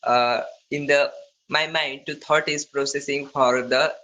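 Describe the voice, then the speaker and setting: A man with an Indian accent practising conversational English, speaking haltingly in non-fluent phrases with broken grammar. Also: medium pitch, steady tone, pushed and bright, a little hiss or hum, rough recording. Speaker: English learner. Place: online video call.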